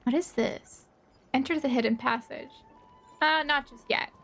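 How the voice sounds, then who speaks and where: A woman's voice: high-pitched, a little slow, a bit bright, faint music behind. A woman livestreamer giving casual, playful game commentary, thinking aloud, with slight noise behind her. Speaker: livestreaming gamer.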